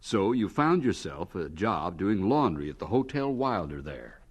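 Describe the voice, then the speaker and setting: A man narrating an old-time radio drama as announcer and formal interviewer, measured and dramatic. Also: low-pitched, big pitch swings, breathy edge, dull audio, exaggerated voice. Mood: measured, inquiring.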